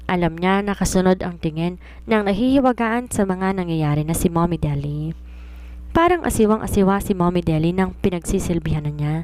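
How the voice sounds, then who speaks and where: A Filipino woman narrates a romance story from a script. Her voice sits on the low side and has a dark, soft tone. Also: clear, resonant tone, no echo.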